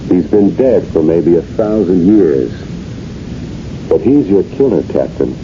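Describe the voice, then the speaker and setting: An actor playing a grave, stubborn police detective in a 1970s radio drama, speaking firmly and insistently. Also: male voice, very animated, a little slow, full character voice. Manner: firm, insistent. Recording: muffled, rumbling noisy old radio recording.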